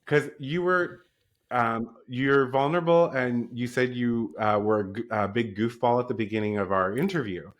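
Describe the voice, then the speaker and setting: A man's voice: medium pitch, normal speed. A man hosting an interview podcast, chatting casually and effusively, with lots of "um" and "like" as he gushes about his guest. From East Coast of North America. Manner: enthusiastic, effusive, frequent "um" and "like". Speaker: podcast host.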